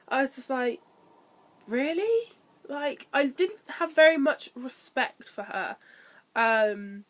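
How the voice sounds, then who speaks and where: A young woman talks through her month's reading in a loose, chatty way, with lots of ums and likes. Her voice sits a little high, and it sounds nasal and stuffy because she is coming down with a cold. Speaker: book vlogger. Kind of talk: casual book review vlog.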